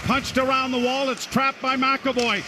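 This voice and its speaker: High-pitched male hockey play-by-play announcer. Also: moderate pace. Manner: excitable, clipped running description. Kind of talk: live radio hockey commentary. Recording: noisy radio broadcast, arena noise.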